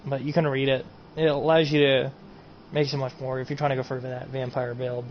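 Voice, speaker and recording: A man's voice: mid-range voice, level tone, a little fast. Rambling lo-fi gaming streamer guy. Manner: rambling, repeats himself, trails off with "and everything". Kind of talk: casual mod showcase. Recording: dull lo-fi home recording, faint noise.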